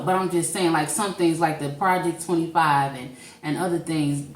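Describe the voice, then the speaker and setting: Low-voiced woman, off-mic and echoey. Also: distant mic. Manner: interjects, argues points firmly. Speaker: podcast co-host. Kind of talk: casual political chat.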